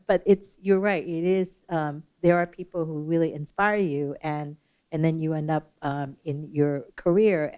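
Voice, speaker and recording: Low-voiced woman moderator, lo-fi sound. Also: smooth. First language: not English. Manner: chatty, rambling questions with fillers. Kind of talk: hosting a panel Q&A. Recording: slightly dull, lo-fi sound.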